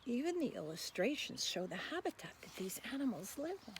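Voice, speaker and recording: A woman presenting a children's picture book in an expressive read-aloud style, speaking directly to young listeners. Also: a little high, brisk. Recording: clear background noise, animal sounds.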